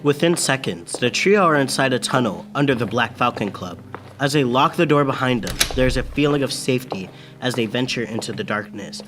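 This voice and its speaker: A man's voice: medium pitch, lively intonation, brisk. Dramatic male radio narrator over music. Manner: suspenseful, dramatic announcer delivery. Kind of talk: scripted old-time radio narration.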